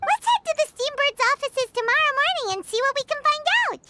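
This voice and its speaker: Casual: squeaky game fairy sidekick, swoops all over, whiny and excited. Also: female voice, brisk. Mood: upbeat. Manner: squealing, pleading, speaks of herself in third person. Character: tiny bubbly female fairy companion, excitable. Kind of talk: video game voice acting. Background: game music.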